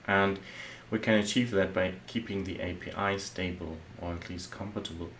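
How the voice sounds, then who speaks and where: A man who grew up speaking German, a software architect giving a relaxed, loosely worded talk over his slides, with many fillers. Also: deep voice, normal speed, slight background noise.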